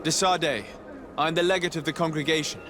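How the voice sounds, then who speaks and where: A man's voice: a little high, steady tone, fairly quick, noisy background, lightly acted. An actor playing a composed young nobleman and diplomat in a video game, formal, self-assured and politely defiant. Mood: cool, defiant.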